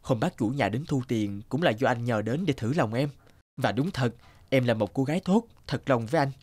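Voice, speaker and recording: A man's voice: mid-range voice, lively intonation, moderate pace, darkish tone, no room sound, close to an everyday voice. A man voicing an earnest, anxious young suitor in a cartoon, speaking a little hesitantly. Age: young adult. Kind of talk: cartoon voice acting.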